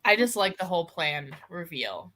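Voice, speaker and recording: A woman's voice: lowish voice, big pitch swings, normal speed, sharp tone. A woman co-hosting a casual book discussion livestream, chatty and informal, often giggling as she talks. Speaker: co-host of book discussion live show. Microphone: video call over internet.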